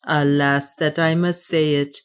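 A woman reading an audiobook, voicing an honest male soldier who speaks gravely and formally with sorrow. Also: low-pitched, little pitch movement, unhurried.

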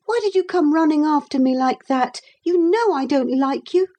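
Woman acting a peevish high-voiced young boy. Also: lively intonation, normal speed, dark tone. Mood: bitter, accusing. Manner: petulant, accusing. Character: peevish, frightened young boy, bitter and blunt.

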